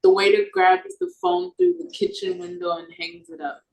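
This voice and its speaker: A woman's voice: very high-pitched, very animated, normal speed, creaky. A woman reading stage directions as scripted narration for an audio play. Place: echoey room, over a video call. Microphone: video call. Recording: roomy, echoing video-call audio.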